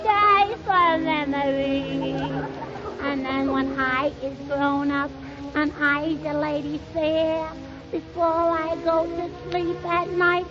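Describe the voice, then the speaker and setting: A woman's voice: extremely high pitch, heavily acted. A woman playing a small sentimental girl who sings to her daddy in childish baby talk on a 1930s radio show. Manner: childish baby-talk grammar, singing slowly. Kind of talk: 1930s radio musical comedy performance. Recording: muffled 1930s radio broadcast, loud orchestra.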